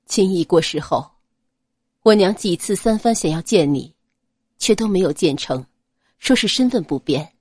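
A woman voicing a cold, restrained young nobleman in an audiobook, quiet, grave and measured. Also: lowish voice, expressive, breathy edge, dry recording, studio quality. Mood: solemn, cold. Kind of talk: dramatized audiobook character acting.

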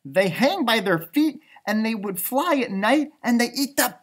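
A man voicing an eager, earnest young female fruit bat in a children's storybook, breathless and excitable. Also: very high voice, measured pace, pitched above the performer's own voice, full character voice. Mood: excited. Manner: eager, breathless, stammering when worried.

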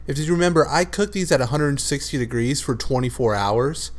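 A man hosting a cooking channel, narrating his recipe steps in a relaxed, matter-of-fact voice-over. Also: medium pitch, a little fast. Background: loud music.

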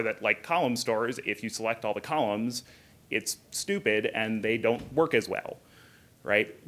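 A man's voice: on the low side, fairly bright tone. A man in his thirties giving an informal technical conference talk, explaining conversationally with asides from a podium. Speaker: conference speaker, software engineer. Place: hotel conference room, indoors.

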